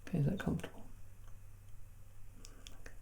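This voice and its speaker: A soft-spoken man in a calm, reassuring ASMR medical exam roleplay, playing the examiner. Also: fairly quick, a little hiss or hum.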